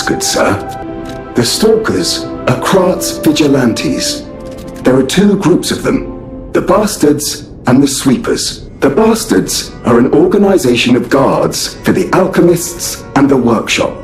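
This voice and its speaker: An actor voicing a polite, formal puppet butler in a video game, explaining things precisely in an echoey space. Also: male voice, mid-range voice, sing-song, slow. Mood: courteous. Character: courteous, formal puppet butler serving hotel guests. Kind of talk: game character exposition. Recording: echoey room, faint music behind.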